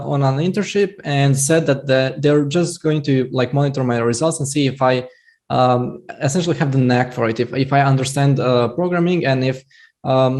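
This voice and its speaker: A man's voice: mid-range voice, vocal fry, a little mellow. A young man from Croatia, an English speaker, telling his career story casually on a headset mic in a small home room, with many fillers and restarts. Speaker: software developer guest.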